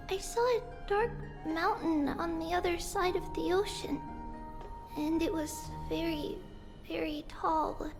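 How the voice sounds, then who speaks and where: An innocent little girl speaks in a very high, small voice. She takes her time, telling things with simple, earnest wonder. It is game cutscene dialogue with music playing clearly underneath. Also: exaggerated voice. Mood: uneasy. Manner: earnest, childlike, simple wide-eyed storytelling. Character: innocent, chatty little girl, young priestess-to-be.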